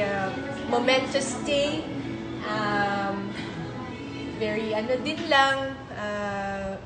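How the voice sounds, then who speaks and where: Slow, high Filipino woman hosting a livestream. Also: echoey room, poor audio. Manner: slow and friendly, reading out greetings. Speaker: livestream host.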